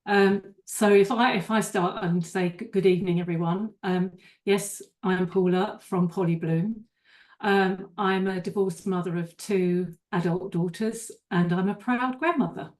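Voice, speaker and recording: A woman's voice: mid-range voice, steady tone, a little slow, a little mellow. An older woman, a divorce coach, giving an earnest webinar presentation with small restarts.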